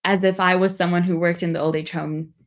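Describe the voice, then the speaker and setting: A young woman giving a reflective personal account in a thoughtful, measured and candid way. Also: lowish voice, little pitch movement.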